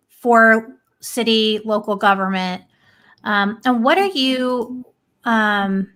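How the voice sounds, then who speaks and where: A young woman hosting an interview, asking questions in a friendly, affirming way with some hesitant pauses. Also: mid-range voice, fairly bright tone. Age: young adult. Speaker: interview host.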